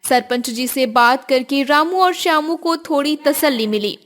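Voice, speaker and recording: A woman with a high voice narrates a cartoon moral story. She reads scripted lines as a storybook narrator would. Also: normal speed, a bit bright.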